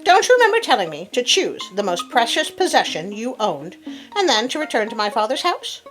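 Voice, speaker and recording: A woman voicing a clever, devoted nobleman's wife in a storybook, composed and measured. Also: on the high side, very animated, over music, rough recording. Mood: calm, loving.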